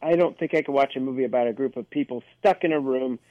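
A man's voice: medium pitch, quick pace, no echo, lo-fi sound. A man on a podcast panel, reading trailer summaries and mocking them with jokes. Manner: sarcastic and jokey.

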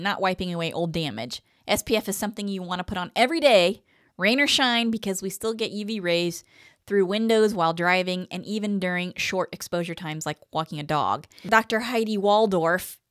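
A woman's voice: lowish voice, smooth, no echo, clean studio sound. A woman in her fifties co-hosting a podcast, chatting casually and reading from articles. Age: 50s. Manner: chatty, reads quotes aloud then comments. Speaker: podcast co-host.

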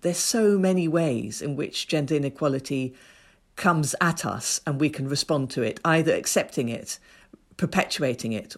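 A woman's voice: low voice, darkish tone. Earnest woman listing points steadily. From Ethiopia. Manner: earnest, measured, builds points in lists. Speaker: guest, women's rights activist. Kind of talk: thoughtful interview answers.

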